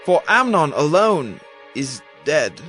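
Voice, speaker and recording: Earnest royal adviser, urgent, high voice, lively intonation, audio Bible drama, faint music. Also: male voice, slow pace, full character voice. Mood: urgent reassurance. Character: earnest male royal adviser reassuring his king.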